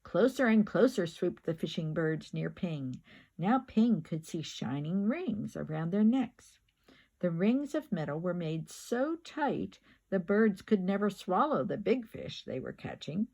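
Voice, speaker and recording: Slow, husky older woman reading a storybook. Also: fairly low pitch. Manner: slow, expressive storytelling with drawn-out words and emphatic repetition. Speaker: grandmother reading aloud. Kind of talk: children's picture book narration.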